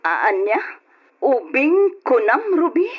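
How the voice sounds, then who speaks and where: Anxious Ilocano wife, very expressive. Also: female voice, high-pitched, slow pace, exaggerated voice. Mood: puzzled, uneasy. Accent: Filipino, Ilocano. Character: anxious, dutiful Filipina wife, soft-spoken but doubting. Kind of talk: old-fashioned radio drama acting. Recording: dull lo-fi radio drama recording.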